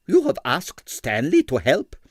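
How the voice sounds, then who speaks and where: A man's voice: on the high side, huge pitch swings, measured pace, darkish tone, no room sound, clean studio sound, pitched above the performer's own voice, exaggerated voice. Man playing a composed, tactful detective. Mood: mild, tactful. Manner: mild, affable, firm when pressed.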